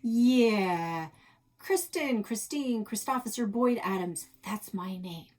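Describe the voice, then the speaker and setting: A woman's voice: medium pitch, expressive, takes it slowly, darkish tone, voice pushed up. Woman doing a sassy kid girl from a storybook, suspicious and defiant, kinda sarcastic. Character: cheeky, suspicious young girl, the story's heroine.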